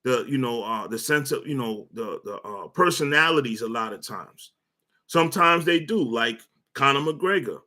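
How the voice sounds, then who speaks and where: A man's voice: mid-range voice, lively intonation, moderate pace, bright, forceful tone. A man delivers a loose, rambling sports rant, stating his opinions bluntly. He trips over his words, repeats himself and fills the gaps with "uh" and "you know" as he works toward his point. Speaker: sports commentary host.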